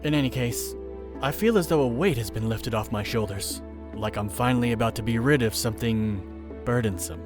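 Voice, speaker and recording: An actor playing a smug village chief in an audio drama, pleasant on the surface and condescending underneath. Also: male voice, medium pitch, brisk, natural voice. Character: smug, falsely warm village chief, portly man. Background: music.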